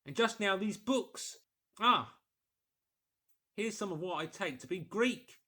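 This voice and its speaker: A man's voice: high voice, animated, takes it slowly, pushed and bright, voice pushed up, heavily acted. A man voicing a jittery village doctor in an audiobook, nervy, emphatic and insistent.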